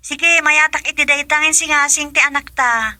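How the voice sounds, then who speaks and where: A woman's voice: sits high, fairly even intonation, moderate pace, bright, forceful tone, no room sound. A woman playing an agreeable, practical middle-aged mother working abroad, in an Ilocano radio drama with an Ilocano accent. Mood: pleased.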